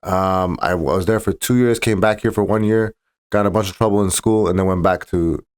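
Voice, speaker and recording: Male boxer guest, low voice, casual, halting restarts. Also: steady tone, darkish tone, smooth. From Czech Republic. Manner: fast, restarting, stumbling over words. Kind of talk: casual interview talk.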